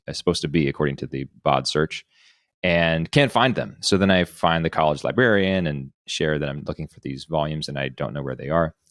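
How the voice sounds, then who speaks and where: A man's voice: extremely low pitch, no room sound, studio quality. A man hosting a casual podcast, telling personal anecdotes in a discursive way, thinking aloud as he goes. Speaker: podcast host.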